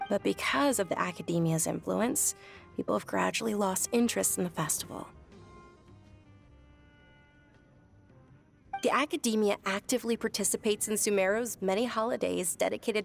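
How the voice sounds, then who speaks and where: A woman playing a sincere, devout young noblewoman in a video game, speaking articulately and softly. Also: medium pitch, gravelly, close to an everyday voice. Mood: earnest explaining. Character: earnest, devout young noblewoman recounting her childhood. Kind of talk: game dialogue and reflective narration.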